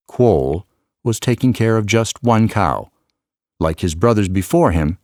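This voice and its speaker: A man reading a scripted story as an audiobook narrator, with measured, expressive storytelling. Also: low voice, no room sound, studio quality.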